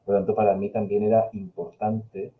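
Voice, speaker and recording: A man's voice: on the low side, little pitch movement, brisk, narrow, tinny audio. A middle-aged man from Spain, a Catalan speaker whose Peninsular Spanish has blended with Chilean Spanish, answering questions at a webinar in a reflective, hesitant way with many fillers. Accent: Peninsular Spanish mixed with Chilean Spanish. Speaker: invited speaker, university linguistics professor. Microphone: video call.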